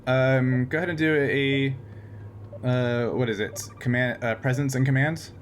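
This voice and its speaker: Casting: male game master, mid pitch, hesitant narration, faint hiss behind. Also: steady tone. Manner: halting, many fillers and restarts.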